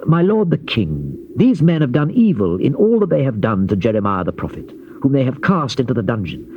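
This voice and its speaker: A man's voice: medium pitch, big pitch swings, a little fast. A man playing a compassionate, respectful court servant with earnest, formal pleading in a biblical audio drama on old tape. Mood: earnest, concerned. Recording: muffled, noisy old cassette tape.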